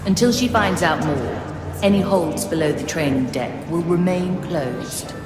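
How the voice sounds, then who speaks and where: An actress playing the solemn, commanding spirit of a living ghost ship, speaking formally. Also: female voice, lowish voice, a little slow, lightly acted. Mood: solemn, uneasy. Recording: echoing, long reverb under music.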